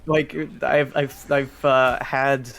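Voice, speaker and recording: Halting man explaining a speedrun. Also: mid-range voice, little pitch movement, vocal fry, a bit bright. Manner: halting, repeats words while explaining. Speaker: speedrun commentator. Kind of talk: explanatory live commentary.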